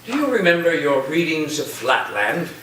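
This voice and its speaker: A man's voice: fairly high pitch, sing-song, normal speed, sharp tone. A man playing an eccentric, theatrical college professor who speaks in a grandiose, whimsical way in a staged play reading. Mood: whimsical, genial. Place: echoey room, staged reading. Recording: very echoey room, far off, faint noise.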